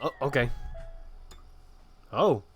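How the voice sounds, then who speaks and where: A man's voice: mid-range voice, lively intonation, slow pace, a bit bright, husky, soft background music. A man giving casual, slangy, exclamatory commentary as he plays a video game. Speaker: gamer reacting while playing.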